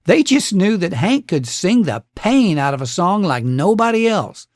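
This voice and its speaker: A man's voice: sits high, sing-song, a little slow, darkish tone, no room sound, studio quality. A man hosting a radio show, narrating a scripted story with folksy, dramatic phrasing.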